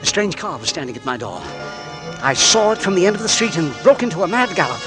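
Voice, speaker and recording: A man's voice: high-pitched, sing-song, quick pace. A man acting a nervous businessman who narrates an old radio drama. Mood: frantic. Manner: tense, hushed, rising to frantic. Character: anxious middle-aged English businessman, narrator. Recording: old radio broadcast with music.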